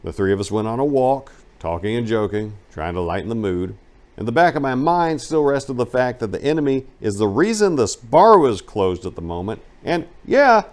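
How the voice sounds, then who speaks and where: A man narrating a first-person memoir as a dramatic reading, with emphatic storytelling and some drawn-out phrases. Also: medium pitch, darkish tone. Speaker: narrator reading a book aloud.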